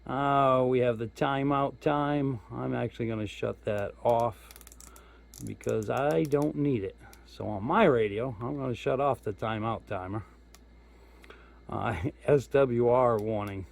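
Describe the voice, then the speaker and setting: A man's voice: mid-range voice, measured pace, gravelly. Casual man talking through a gadget. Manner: informal, thinking aloud. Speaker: hobbyist radio reviewer. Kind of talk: casual hands-on product walkthrough. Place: indoors at home.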